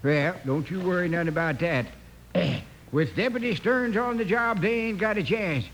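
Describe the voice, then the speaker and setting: An actor playing a fussy, excitable old American hotel keeper in a radio Western, stammering and repeating himself in a flustered way. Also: male voice, high voice, normal speed. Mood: proud, delighted. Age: older. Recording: old radio recording with faint noise.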